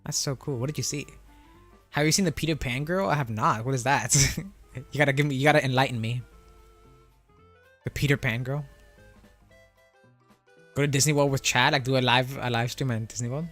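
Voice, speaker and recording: A man's voice: mid-range voice, a little fast, pauses often, fairly bright tone. Laid-back young man streaming over music. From Florida. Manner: laid-back and rambling, reads out chat questions, frequent laughs and self-corrections. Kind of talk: casual live-stream chat with viewers.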